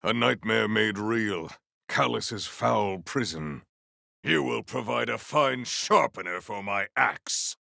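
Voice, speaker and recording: Proud gravelly warrior, slow and grandiose. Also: male voice, on the low side, expressive, sharp tone, no echo, clean studio sound, exaggerated voice. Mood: stern, menacing. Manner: formal, grandiose, theatrical declarations. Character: proud, stern warrior man, formal and grandiose battle hero. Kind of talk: video game character voice lines.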